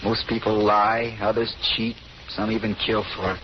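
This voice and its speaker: Actor as low-voiced gentle radio sweetheart. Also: male voice, little pitch movement, normal speed, natural voice. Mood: reflective. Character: gentle, modest, unworldly man in love. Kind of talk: 1930s-40s radio drama acting. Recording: noisy dull old radio recording.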